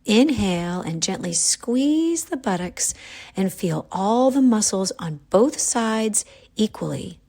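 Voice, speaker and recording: A woman guiding a yoga nidra relaxation, giving calm, gentle, unhurried instructions with pauses. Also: medium pitch. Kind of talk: guided relaxation meditation.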